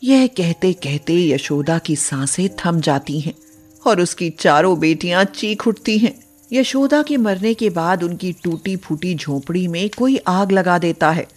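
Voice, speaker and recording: A woman's voice: on the low side, animated, unhurried. A woman narrating a scripted moral bedtime story as a storyteller.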